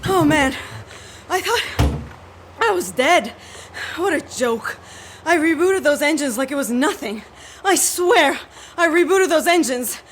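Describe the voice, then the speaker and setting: Female, brash young secret agent for radio comedy, high voice, slangy, faint ambience behind. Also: lively intonation, measured pace, pauses often, slight background noise. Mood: dazed disbelief. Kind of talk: comedic audio drama acting.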